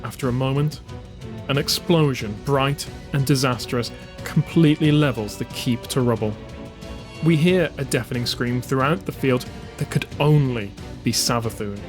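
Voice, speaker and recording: A man giving a dramatic scripted narration as an audiobook narrator. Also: medium pitch. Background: music under the voice.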